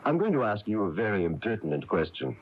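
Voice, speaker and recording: A genial small-town minister in a radio play, coaxing and curious, with a very low, animated voice. It comes off a dull, noisy broadcast tape. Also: male voice, quick pace. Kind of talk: radio drama acting.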